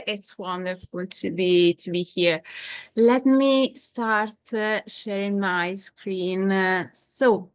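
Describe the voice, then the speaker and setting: A woman's voice: medium pitch, clean tone, no room sound, dull audio. A woman from Italy with an Italian accent, presenting a marketing webinar over a video call with frequent hesitations. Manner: hesitant, many filler sounds, storytelling. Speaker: webinar presenter, SEO agency founder.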